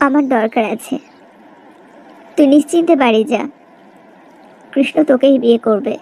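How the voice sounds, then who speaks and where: A woman's voice: high-pitched, normal speed, a little mellow, poor audio. A woman playing an earnest, self-sacrificing rich young woman in a cartoon melodrama, speaking plaintively. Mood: resigned.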